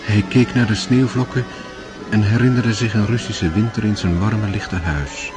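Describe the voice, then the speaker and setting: A man narrating a literary radio drama in a measured storytelling manner. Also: on the low side, steady tone, natural voice. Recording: music under the voice. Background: music.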